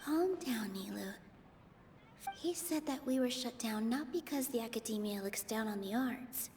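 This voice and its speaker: Gentle young game heroine voiced by a woman, a dancer, high-pitched and sincere. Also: background noise, close to an everyday voice. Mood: nervous, hushed. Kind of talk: video game voice acting.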